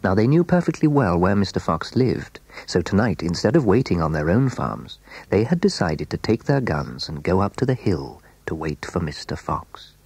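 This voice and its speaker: A man narrating a scripted children's story in a measured, dramatic way, letting phrase endings fall hushed. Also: low voice, very animated, breathy edge, dry recording, poor audio.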